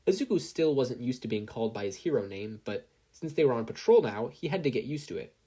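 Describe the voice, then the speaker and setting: A narrator reading a scripted fan fiction story in an expressive way. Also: mid-range voice, fast talker, slightly rough.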